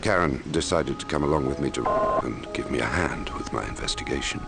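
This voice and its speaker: A man acting as an investigator who narrates his grim logbook in a measured, brooding way for a mystery game, in a gravelly voice. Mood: grave, recounting. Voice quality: very deep, gravelly. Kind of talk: scripted first-person narration for a mystery game. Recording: music under the voice. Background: music.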